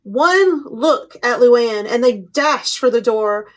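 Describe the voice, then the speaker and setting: A woman reading a picture book aloud for children as a storytime host, with deliberate, playful emphasis. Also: on the high side, lively intonation.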